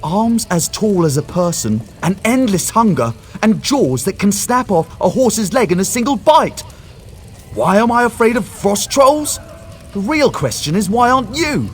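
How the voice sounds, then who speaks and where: A man's voice: high-pitched, sing-song, unhurried, a little hiss or hum. An actor voicing a cautious, scholarly male mage in a video game, speaking formally and precisely. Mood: nervous. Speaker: quest-giving character.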